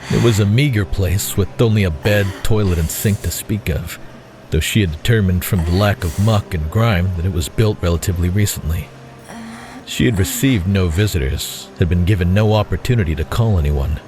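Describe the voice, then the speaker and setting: Deep male narrator, scripted dramatic storytelling, bit of music under it. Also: moderate pace.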